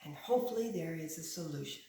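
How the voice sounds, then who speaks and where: A woman reading a picture book aloud like a teacher, with simple, instructive phrasing and expressive stress on key words. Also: deep voice, fast talker. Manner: simple, instructive phrasing aimed at young children. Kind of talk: animated children's picture book read-aloud. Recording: echoey room.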